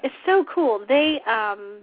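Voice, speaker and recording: Female talk show host, informal and unscripted, slightly husky and scratchy, dry phone-quality sound. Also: fairly high pitch, animated, takes it slowly, dry recording. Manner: chatty, informal. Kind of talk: unscripted casual radio chat. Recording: tinny phone-line internet radio audio.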